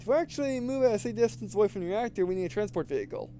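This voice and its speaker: A man with a noticeably high-pitched voice commentates casually over a video game. He reads dialogue aloud and reasons through it, circling back and restarting phrases as he goes. A faint hum sits behind his voice. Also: sing-song, very fast, mellow. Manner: rambling, thinking aloud, repeating and restarting phrases. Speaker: gameplay video host.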